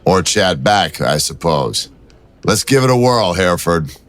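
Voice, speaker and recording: A man's voice: low voice, sing-song, unhurried, fairly bright tone, no echo, full character voice. A man playing a cool, teasing police detective in an audio drama, with a wry, bantering manner.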